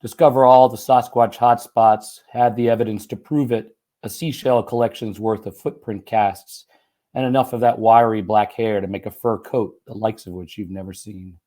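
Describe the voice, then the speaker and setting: A slightly hoarse man reading a first-person short story aloud in an expressive, conversational, folksy way. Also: lowish voice, moderate pace. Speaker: writer and teacher reading own short story.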